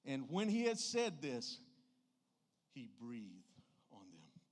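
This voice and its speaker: A man's voice: mid-range voice, huge pitch swings, takes it slowly. A man with a Southern US accent preaching a church sermon in a folksy, plainspoken and emphatic way.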